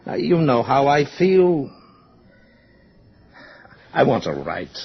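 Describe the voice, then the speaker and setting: The sound is dull, lo-fi radio. a male writer, earnest and troubled, who cautions like a loyal friend. His voice is slightly rough, with measured dramatic delivery. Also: medium pitch, sing-song, exaggerated voice. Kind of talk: mid-century radio drama acting. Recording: dull, lo-fi old radio recording.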